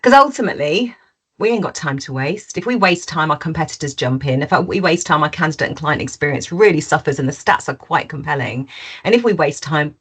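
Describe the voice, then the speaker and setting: A woman's voice: low voice, expressive, brisk, fairly bright tone, breathy edge. A woman hosting a webinar in an informal, chatty style, with colloquial sales patter. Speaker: webinar host.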